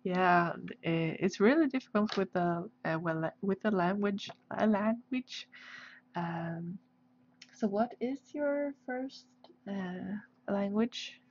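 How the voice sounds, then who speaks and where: A woman's voice: medium pitch, takes it slowly. A woman with a Swedish accent chatting casually on a livestream as she draws, hesitant and searching for her English words. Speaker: livestream host, artist. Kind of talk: casual livestream chat while drawing. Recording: dull, lo-fi livestream audio.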